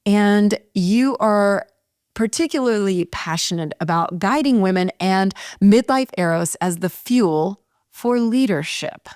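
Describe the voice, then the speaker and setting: A woman in her fifties hosts a casual interview, chatty and effusive, often exclaiming. Her voice sits at a middling pitch and sounds dry and close to the mic. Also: darkish tone, clean tone, dry recording. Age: 50s. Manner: effusive, chatty, says "oh my God". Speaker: podcast host.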